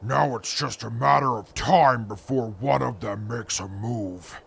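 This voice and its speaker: An actor playing a grim, calculating wasteland veteran with a gravelly, husky voice and measured, formal speech. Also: male voice, medium pitch. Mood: calm disdain. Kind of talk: post-apocalyptic audio drama.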